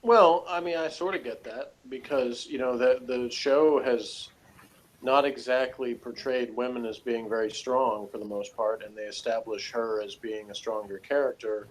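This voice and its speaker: A man's voice: mid-range voice, steady tone, moderate pace, slight background noise, poor audio. A man talking through a TV review in a casual, analytical way, hesitant and rambling as he works out his points. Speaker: co-host reviewing a TV series.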